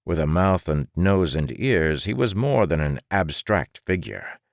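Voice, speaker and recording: A low-voiced man reads dramatic fiction as an audiobook narrator. His delivery is slow and deliberate, with an expressive storytelling rise and fall and a very dry, close sound. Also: gravelly, no room sound.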